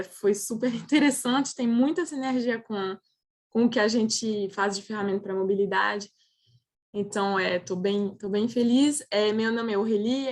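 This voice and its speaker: A woman with a French accent, a native French speaker, presenting in a video-call roundtable with many hesitations and restarts. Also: mid-range voice. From France. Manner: hesitant, frequent filler "é" and restarts. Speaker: panelist.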